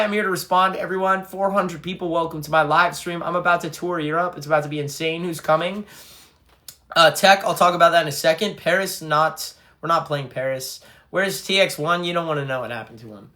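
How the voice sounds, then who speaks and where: A young man talks casually on a phone livestream, reading out fan comments and chatting between them. His voice sits a bit high for a man, with a bright, forceful edge. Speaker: band frontman livestreaming to fans.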